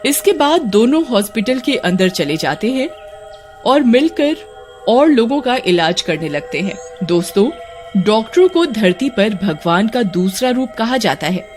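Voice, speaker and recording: A woman's voice: on the high side, very animated, unhurried, a little mellow. A woman reading scripted story narration as a storyteller. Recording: music behind the narration.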